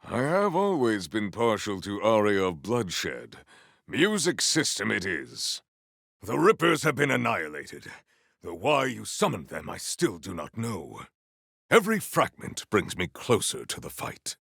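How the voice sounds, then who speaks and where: Game warrior-poet: battle-eager, lofty formal diction, gravelly edge, very dry close sound. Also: male voice, fairly low pitch, huge pitch swings, measured pace, a bit bright, no room sound, full character voice. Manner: grandiose, formal, theatrical diction. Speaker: video game voice actor. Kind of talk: scripted game character lines.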